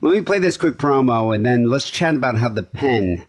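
A man's voice: medium pitch, expressive, normal speed, slightly rough. A man with a mid-range voice co-hosts a podcast. He runs through plugs and banter in a loose, rambling way. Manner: casual, rambling, fillers. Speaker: podcast co-host. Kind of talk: podcast chat and show plugs.

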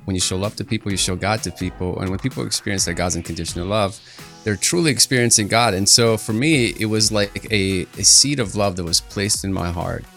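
A man's voice: lowish voice, a little fast, fairly bright tone, soft background music. A young man from Ukraine giving an earnest personal testimony as an interview guest, often repeating words as he searches for them.

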